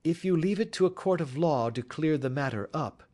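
A man voicing a shrewd male detective who speaks in a dry, assured, measured way. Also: fairly high pitch, animated, darkish tone, pitched above the performer's own voice.

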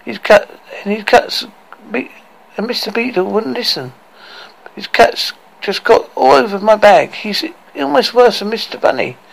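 A man doing a shrill pleading young woman. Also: expressive, unhurried, vocal fry, exaggerated voice, low-quality recording. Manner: high, pleading, breathless girlish voice.

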